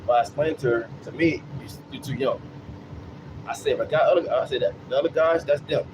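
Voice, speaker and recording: A man's voice: mid-range voice, brisk. A man in his late thirties talking off the cuff in a casual, repetitive ramble, often checking in with "you know" tags. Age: late 30s. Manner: repetitive, peppered with "you know what I'm saying". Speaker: vlogger. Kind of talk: casual rambling monologue with anecdotes. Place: on a city bus. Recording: clear vehicle and air noise.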